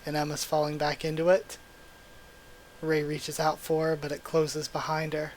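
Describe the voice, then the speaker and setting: Young guy on a headset mic, voice kinda worn out and cracking, a bit high, chatty and hyped. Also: moderate pace, a little hiss or hum, low-quality recording. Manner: chatty, excitable, thinks out loud. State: hoarse, voice cracking. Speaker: channel host reading manga aloud. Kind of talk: casual readthrough with commentary. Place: small room at home. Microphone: gaming headset mic.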